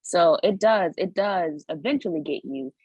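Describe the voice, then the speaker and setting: Husky young woman, earnest podcast talk. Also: on the low side, animated, normal speed, darkish tone, no room sound, poor audio. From United States. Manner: earnest, repeats words while finding her point. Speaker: podcast co-host. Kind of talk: casual discussion.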